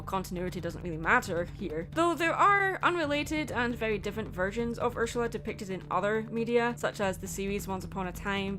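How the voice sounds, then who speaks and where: A woman presents scripted commentary as the host of a video essay. Her voice sits a little high. Soft music runs underneath. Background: background music.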